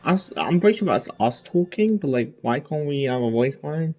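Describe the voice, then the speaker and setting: A man's voice: fairly high pitch, animated, normal speed, lo-fi sound. A man casually commentating as he plays a game, rambling and offhand. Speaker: gaming streamer. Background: faint game music.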